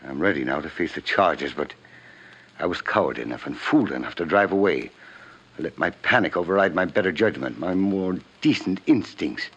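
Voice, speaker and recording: An actor playing a guilt-ridden, formal, wealthy older father in a 1950s radio drama, speaking with measured diction. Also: deep voice, animated, fairly bright tone, breathy edge, full character voice. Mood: remorseful. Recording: muffled vintage radio recording.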